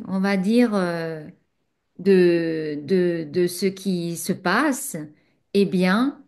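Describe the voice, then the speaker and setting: A woman spiritual teacher, whose first language is not French, answers a student in a satsang, reflective and pausing often with fillers. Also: a little high.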